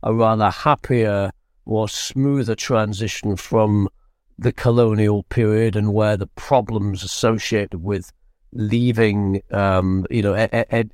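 A British man giving unscripted analysis as a correspondent in an interview, speaking in a measured, hesitant way with frequent restarts. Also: lowish voice, no echo, clean studio sound. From Britain. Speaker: foreign correspondent, interview guest.

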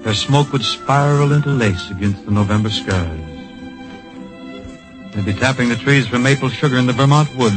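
An actor narrating a tragic radio drama in a solemn, poetic, storytelling manner. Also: male voice, lowish voice, natural voice, low-quality recording. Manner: lyrical, grave storytelling. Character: solemn, poetic male narrator of a patriotic tragedy. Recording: vintage radio recording with music behind.

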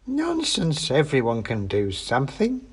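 A man's voice: medium pitch, wildly swooping pitch, measured pace, husky, pitched above the performer's own voice, full character voice. A man voicing a greedy, sly old witch woman who talks in a folksy, threatening way. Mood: menacing.

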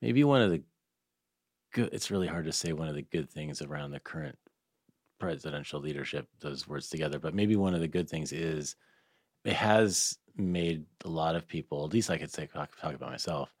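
A man hosting a casual interview, speaking haltingly and circling around his point with many hedges. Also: rumbling low, husky, no echo, studio quality. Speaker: interviewer, podcast host.

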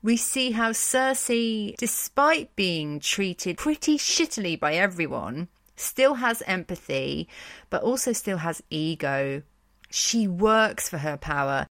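A woman's voice: medium pitch, lively intonation, slow pace, fairly bright tone, dry recording. Wry woman podcast host, dry close sound. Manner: wry, informal asides. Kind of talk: conversational commentary on myth and books.

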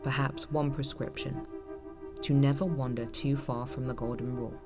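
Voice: medium pitch, fairly even intonation, moderate pace, close to an everyday voice. An actor narrating a dystopian audio drama in a solemn, grave manner, as scripted storytelling. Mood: solemn, questioning. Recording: loud music under the voice. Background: music.

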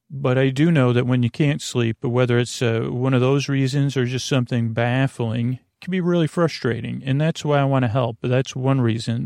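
Creaky-voiced man rambling soothingly. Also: medium pitch, a little mellow, dry recording, studio quality. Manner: meandering, halting, stammering, trailing off into tangents. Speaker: host of a sleep podcast. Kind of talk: rambling, soothing bedtime monologue to the listener.

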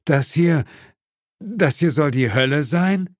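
A man acts out a male ghost hunter in a horror-comedy audiobook, cocky and easily indignant. His protests are wry and animated, sitting a bit high, in a dry recording. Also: takes it slowly, no echo. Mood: incredulous.

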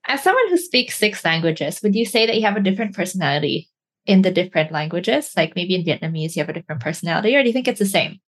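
A woman's voice: on the low side, very animated, fast talker. A woman hosting an interview, asking friendly, curious questions. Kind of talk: casual interview conversation.

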